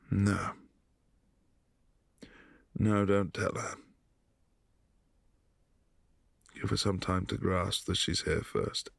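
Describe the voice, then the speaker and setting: A man voicing a brooding, possessive adult vampire prince in a boyfriend ASMR audio drama, speaking softly and often in a hushed whisper. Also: on the low side, creaky, breathy edge, no echo, exaggerated voice.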